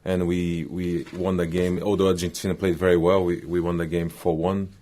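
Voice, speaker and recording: A man from Brazil, a non-native speaker of English, talking haltingly and searching for words as a guest on a local radio show. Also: deep voice, level tone, warm tone. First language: Portuguese. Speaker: team manager. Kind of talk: radio interview.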